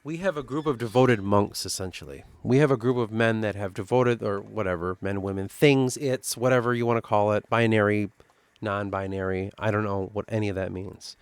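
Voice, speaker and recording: A man with a Midwestern accent reading through his own fantasy lore notes and thinking aloud, halting and restarting as he goes. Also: on the low side, clean tone, no room sound. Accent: Midwestern American. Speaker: worldbuilding writer.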